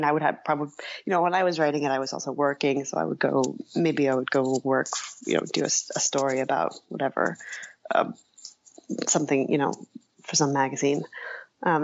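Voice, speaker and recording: A woman writer being interviewed, talking casually and haltingly, thinking aloud and breaking off into asides. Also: low-pitched, gravelly, no room sound, muffled recording. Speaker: interview guest, nonfiction writer.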